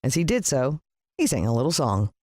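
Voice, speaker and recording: Audiobook narrator: American woman, expressive and suspenseful storytelling, measured pauses, bone-dry studio-like sound. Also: extremely low pitch, no room sound. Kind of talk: dramatic scripted storybook narration.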